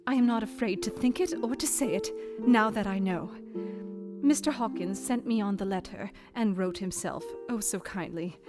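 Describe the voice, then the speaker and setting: A woman's voice: mid-range voice, natural voice. An actress playing a devoted young Victorian fiancée, reading her journal earnestly and emotionally in a radio play.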